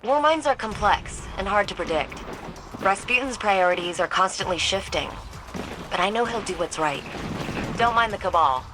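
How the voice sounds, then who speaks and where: A woman's voice: mid-range voice, animated, over music, close to an everyday voice, poor audio. An actress playing a confident, wry young woman engineer who briefs the player in a video game. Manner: wry, brisk and self-assured. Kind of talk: scripted video game dialogue.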